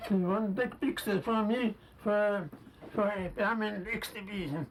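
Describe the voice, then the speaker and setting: An elderly deaf man speaking in slow, broken, halting phrases in an interview. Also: high-pitched, background noise. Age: older. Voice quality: deaf speech, halting and hard to follow.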